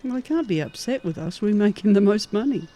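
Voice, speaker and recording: Woman acting a mellow, folksy frontier gal. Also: mid-range voice, animated, brisk, natural voice. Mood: upbeat, curious. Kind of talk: audio horror-comedy acting.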